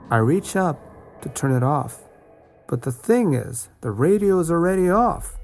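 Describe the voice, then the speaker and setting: Male guest reader for first-person horror anecdotes; creaky texture, lively swings in pitch. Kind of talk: scripted first-person scary story narration.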